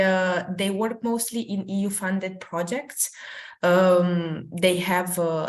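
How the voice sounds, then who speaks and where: A young woman from Romania, speaking English as a second language, giving an informal career talk over a video call with many fillers and restarts. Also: lowish voice. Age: young adult. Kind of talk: informal presentation with slides.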